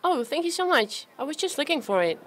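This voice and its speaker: Radio drama role: actress as flustered, polite woman, high-pitched, outdoor noise behind. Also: sing-song, fairly quick, a bit bright. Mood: relieved, polite. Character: flustered polite woman who lost her wallet. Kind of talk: radio play acting.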